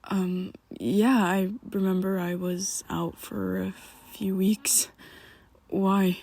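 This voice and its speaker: A woman's voice: medium pitch, level tone, takes it slowly, vocal fry, a little mellow. A woman voicing a young male vampire best friend in an ASMR roleplay, who talks hesitantly and half-whispers with nervous stammers. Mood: evasive.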